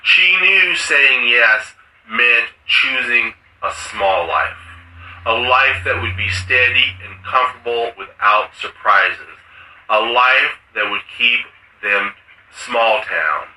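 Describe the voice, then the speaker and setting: A man's voice: mid-range voice, lively intonation, slow, bright, forceful tone. A man, a writer, reading literary short fiction aloud, recorded in a roomy space at a distance from the mic. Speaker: writer reading his own story.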